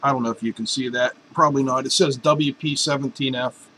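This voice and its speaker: A man's voice: mid-range voice, steady tone, fairly quick, pushed and bright, slight background noise, low-quality recording. A man in a home workshop explaining a welding torch swap in a casual, joking, folksy way. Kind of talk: casual workshop how-to narration.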